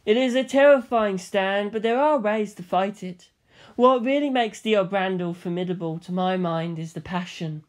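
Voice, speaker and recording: A narrator voicing a composed, grave male statesman who gives solemn counsel in a measured, emphatic way. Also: mid-range voice, lightly acted. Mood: grave admiration.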